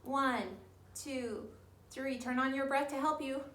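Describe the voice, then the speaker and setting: Female kids' yoga teacher, encouraging and clear in instruction, higher-pitched, recorded at a distance in a roomy space. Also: steady tone, takes it slowly, fairly bright tone. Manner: encouraging, simple instructions for young children. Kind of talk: guided children's yoga lesson. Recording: roomy, distant mic, faint background noise.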